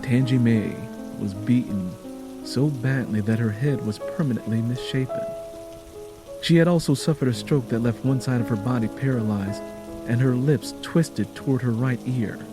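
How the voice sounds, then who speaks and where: A man's voice: medium pitch, normal speed. Solemn male storyteller over music. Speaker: narrator. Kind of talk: dramatic scripted story narration.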